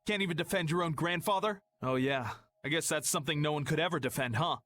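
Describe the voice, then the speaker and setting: Man acting a sneering, higher-voiced guild thug. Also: quick pace, fairly bright tone, close to an everyday voice. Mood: mocking, contemptuous. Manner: mocking, goading. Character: sneering, bullying male guild thug taunting a girl. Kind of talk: English-dubbed anime video game acting.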